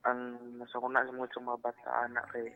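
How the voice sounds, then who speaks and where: A man's voice: mid-range voice, monotone, fast talker, narrow, tinny audio. A man calling a radio show, giving brief, hesitant answers in casual talk. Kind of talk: casual phone chat. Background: faint music.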